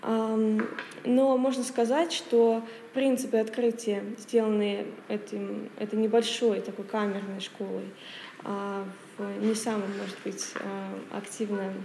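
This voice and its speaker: A woman's voice: mid-range voice, level tone, gravelly, dark tone. Hesitant young woman presenting in an echoey room. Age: 20s. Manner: hesitant, halting delivery. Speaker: speaker presenting a paper. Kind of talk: academic conference presentation. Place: wood-panelled library meeting room, indoors. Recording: echoey room with long reverb, faint noise.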